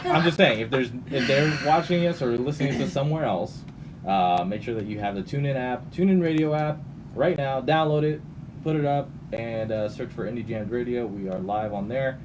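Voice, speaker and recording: A man's voice: mid-range voice, normal speed. A man hosting a radio show with casual, jokey and teasing banter. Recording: noisy room, distant mic.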